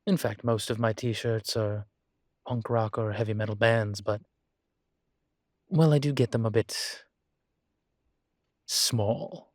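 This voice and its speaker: Slow, low-voiced incubus professor, acted by a man. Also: steady tone, darkish tone, no room sound, clean studio sound. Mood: sheepish. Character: male incubus demon professor, vain, seductive, politely awkward. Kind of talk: intimate audio roleplay, one-sided conversation.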